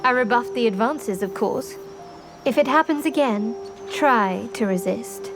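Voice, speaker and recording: A woman playing a guarded but candid adventurer in a fantasy video game, speaking in a knowing, measured, confiding way. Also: on the high side, lively intonation. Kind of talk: video game dramatic acting. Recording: music behind the voice.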